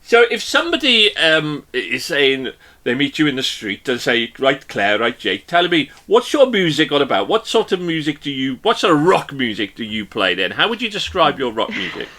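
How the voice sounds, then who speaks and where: A man's voice: on the high side, very animated, moderate pace, sharp tone. A male podcast host leading a joking interview chat, playful and teasing, rambling with fillers.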